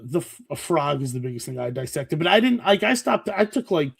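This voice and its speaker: A man's voice: on the high side, big pitch swings, a little fast, creaky, breathy edge. A man from Rhode Island co-hosting a casual podcast, sick and coughing, joking and explaining things at length. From Rhode Island, USA. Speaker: podcast co-host. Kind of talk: casual chat.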